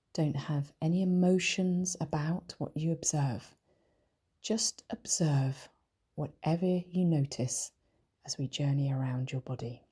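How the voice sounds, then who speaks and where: A woman leading a guided meditation in a soft, breathy, whispery voice, speaking gently and soothingly with long, deliberate pauses. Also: lowish voice. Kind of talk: guided meditation, body scan.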